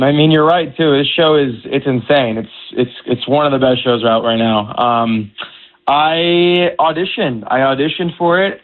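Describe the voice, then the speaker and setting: Relaxed male actor on a phone line. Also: medium pitch. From United States. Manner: relaxed, stumbling, many restarts. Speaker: actor and guest. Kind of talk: casual interview.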